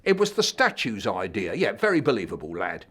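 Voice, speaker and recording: A man voicing a blustering, exasperated schoolteacher playing a judge, shouting for order in a storybook reading. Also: on the low side, huge pitch swings, fairly quick, husky, heavily acted.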